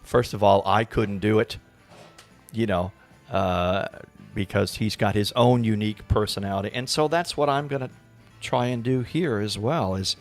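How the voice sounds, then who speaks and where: Male actor guest, lowish voice, earnest and thoughtful in casual interview, faint music behind. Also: moderate pace. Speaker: stage actor being interviewed.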